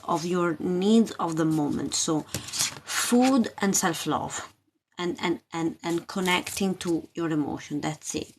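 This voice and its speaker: Woman doing live tarot chat, slow n halting, repeats herself, bit of noise behind her. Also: lowish voice. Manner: informal, halting, questioning, repeats phrases. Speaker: tarot reader and spiritual coach hosting a live session. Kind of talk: casual live tarot reading, talking to viewers.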